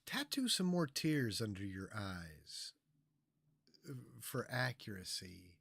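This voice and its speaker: A man's voice: lowish voice, very animated, slow, vocal fry, fairly bright tone, husky. A male streamer reacting to clips with scornful, heavily profane commentary, mocking the people he watches.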